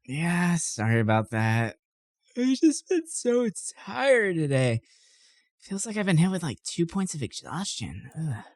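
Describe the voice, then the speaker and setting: Male performer as theatrical adventurer for a comedy ad skit, pitched up and exaggerated, dry recording. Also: big pitch swings, a little slow, no echo. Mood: weary, whiny. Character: weary adventurer turning eager in comic ad skit.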